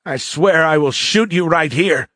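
A man's voice: fairly high pitch, unhurried, fairly bright tone, no echo, clean studio sound, pitched above the performer's own voice. A man voicing a furry young alien cadet leader in an audiobook, a blustering, easily rattled bully. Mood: panicked, threatening. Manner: gloating, blustering. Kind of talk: audiobook character acting.

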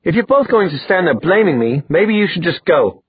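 A man voicing a defiant, defensive young woman in a story, with a sharp manner. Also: a little high, sing-song, very fast, husky, dry recording, voice pushed up, exaggerated voice. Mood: hurt, angry. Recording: muffled, narrow phone-like audio.

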